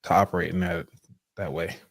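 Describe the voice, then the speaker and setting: A man's voice: low voice, steady tone, a little fast, vocal fry, no room sound, clean studio sound. A man co-hosting a casual podcast discussion, talking in a loose, rambling way with many fillers. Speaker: podcast co-host.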